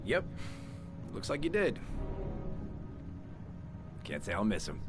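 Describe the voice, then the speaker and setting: An actor playing an aggressive, taunting police lieutenant in a video game, goading and menacing. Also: male voice, fairly low pitch, wildly swooping pitch, slow, music in the background, heavily acted. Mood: callous sarcasm. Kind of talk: video game drama acting.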